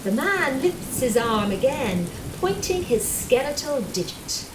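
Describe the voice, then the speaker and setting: Female narrator, scripted drama, unhurried delivery, slightly high pitch, distant echoing sound, heavy storm noise. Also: very animated.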